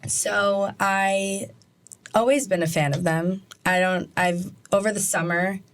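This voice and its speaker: A woman's voice: medium pitch, fairly even intonation, slow. Chatty young woman, New Jersey accent. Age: young adult. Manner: chatty, giggly, lots of fillers. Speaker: student guest. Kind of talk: casual chat.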